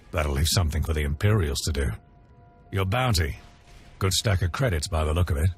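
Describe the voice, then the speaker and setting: An actor playing a hard-bitten, approving mission contact in a video game cutscene, with a dry manner. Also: male voice, rumbling low, lively intonation, moderate pace, faint music behind. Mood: satisfied.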